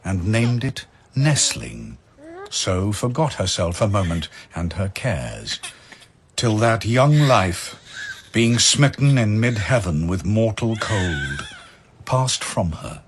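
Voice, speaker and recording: A man narrating archaic verse as a dramatic story, measured and declamatory. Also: lowish voice, sing-song, slight background noise.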